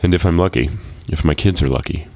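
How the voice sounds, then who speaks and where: A man playing a tired, wryly fatalistic parent working as a security guard narrates a short story. The voice is exceptionally deep and very dry in the recording. The delivery is measured and deadpan, full of resignation. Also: dry recording. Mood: wry, resigned. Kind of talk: reading a first-person short story.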